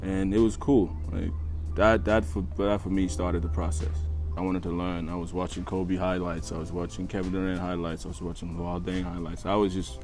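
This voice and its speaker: Male, 20s, non-native English speaker, very deep register, relaxed interview answers with emphatic repetition, faint music bed. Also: normal speed. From East Africa and Australia. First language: not English, learned it after moving to Australia. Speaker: interview guest, college basketball player. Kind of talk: casual interview, telling own life story. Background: background music.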